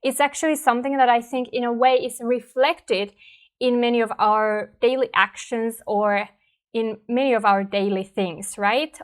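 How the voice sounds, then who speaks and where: Finnish woman, language teacher, thoughtful casual delivery, slightly high-pitched. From Finland. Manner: thoughtful, conversational, frequent "right?" and fillers. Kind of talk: reflective personal talk to camera.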